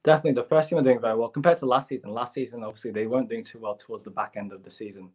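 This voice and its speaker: A young footballer from Hong Kong answering interview questions politely with plain answers and fillers. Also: male voice, medium pitch, fairly even intonation, very fast, slightly rough. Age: young adult. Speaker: youth footballer, guest. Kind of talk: casual interview.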